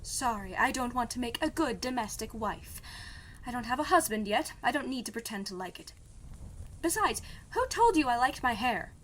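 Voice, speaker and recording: A woman plays a defiant young princess in a fantasy audio drama. Her voice is a little high, dryly sarcastic and stubborn. Faint noise sits behind the recording. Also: big pitch swings, normal speed, a bit bright, poor audio. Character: stubborn, sardonic young princess defying an arranged marriage. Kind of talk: audio drama podcast acting.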